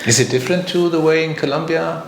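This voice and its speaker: A man's voice: a little high, fast, gravelly. A middle-aged German man hosting a podcast, asking rambling questions with many restarts in non-native English. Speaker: podcast host. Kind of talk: conversational interview. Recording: echoey room, faint music.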